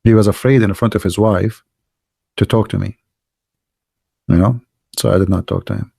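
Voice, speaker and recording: Gravelly-voiced man, mellow and casual. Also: on the low side, normal speed, no echo, clean studio sound. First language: Arabic. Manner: animated storyteller, non-native English grammar. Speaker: livestream host. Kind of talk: casual anecdotes and chat with live viewers.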